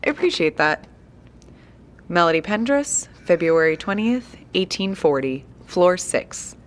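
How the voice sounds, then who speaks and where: Curious polite lady interviewer in audio drama, really lilting melody, soft-pedals her questions. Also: fairly low pitch, sing-song, measured pace. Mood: polite, businesslike. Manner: courteous, gently prompting, apologetic. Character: polite, curious young woman documentarian interviewing residents.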